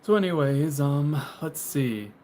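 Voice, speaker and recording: A man's voice: a little high, expressive, a little slow, warm tone, a little hiss or hum. A man in his twenties chatting casually on a live stream, rambling with lots of fillers and restarts and laughing often, filmed on a phone on a tripod in a small closet. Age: 20s. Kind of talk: casual live stream chat, reading viewer comments. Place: small bedroom closet, indoors.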